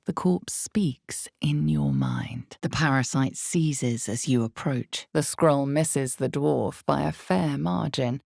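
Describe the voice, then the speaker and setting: An actress voicing the narrator of a dark fantasy game, reading in slow, measured second person with a husky, creaky low voice. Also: female voice, no echo, studio quality, full character voice. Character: unseen female storyteller of a dark fantasy game. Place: studio.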